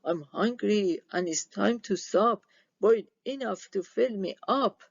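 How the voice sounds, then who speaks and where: Woman reading as a boastful, excitable storybook lad. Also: mid-range voice, slow, gravelly, slightly rough, highs cut off, heavily acted. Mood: sing-song. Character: boastful, excitable young man showing off magic. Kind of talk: storybook read-aloud.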